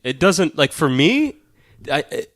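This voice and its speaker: A man's voice: a little high, big pitch swings, measured pace, a bit bright, no room sound. A man co-hosting a film podcast, casually arguing his points with swearing and emphatic repetition.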